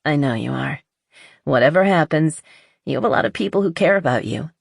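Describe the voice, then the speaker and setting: A woman voicing a blunt, honest, skeptical but loyal best friend in an audiobook, with a dry teasing manner and husky voice. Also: sits low, expressive, a little fast, no echo, heavily acted. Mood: supportive.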